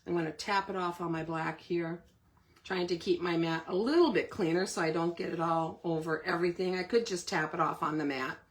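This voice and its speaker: A woman with a slightly hoarse voice who keeps clearing her throat, giving a chatty step-by-step live card-making demonstration. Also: lowish voice, brisk. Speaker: craft demonstrator.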